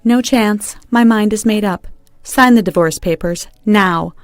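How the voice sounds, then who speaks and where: A wronged wife in a narrated story, voiced by a woman reader. She is firm and unforgiving, speaking decisively at a medium pitch in a dry recording. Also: unhurried, no echo, close to an everyday voice. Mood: stern. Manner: stern, decisive.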